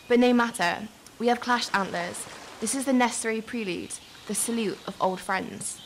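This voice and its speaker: A woman's voice: a little high, normal speed, a little hiss or hum, lightly acted. A woman playing a hard, earthy, plain-spoken middle-aged country woman in a literary radio drama. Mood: blunt.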